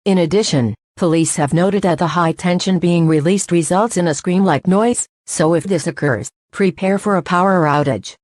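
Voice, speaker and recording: A synthetic female voice playing an emergency management announcer, reading an official message in a formal, measured way. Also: on the low side, darkish tone, no echo, natural voice. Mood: composed, official. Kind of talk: scripted emergency broadcast message.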